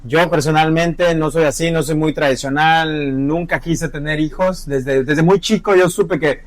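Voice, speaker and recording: A man's voice: on the high side, steady tone, a little slow, no echo. A Mexican man with a Mexican accent who hosts a podcast, chatting casually and agreeably. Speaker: podcast host. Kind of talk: casual conversation.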